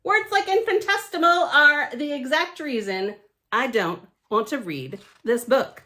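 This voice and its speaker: A woman reading a picture book aloud to children, theatrically emphatic and playfully grumpy, with chatty deadpan asides. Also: high voice. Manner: theatrical, emphatic, with pauses and repeated words for effect. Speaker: library storytime reader.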